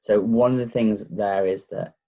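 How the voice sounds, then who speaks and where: A man with a Lancashire accent gives a relaxed, casual tech walkthrough, with frequent ums and pauses. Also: on the low side, lo-fi sound. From Blackpool, North West England. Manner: relaxed, hesitant with many fillers. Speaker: tech reviewer. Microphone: laptop microphone.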